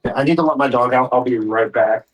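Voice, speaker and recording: A man's voice: medium pitch, quick pace, low-quality recording. A man running a tabletop game as game master, talking casually with the players.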